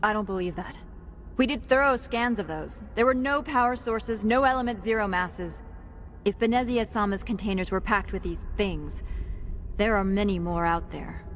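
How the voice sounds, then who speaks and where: A woman's voice: medium pitch, normal speed, soft background music, close to an everyday voice. A woman playing a stern, skeptical corporate security captain in a video game, clipped and demanding. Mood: skeptical, worried.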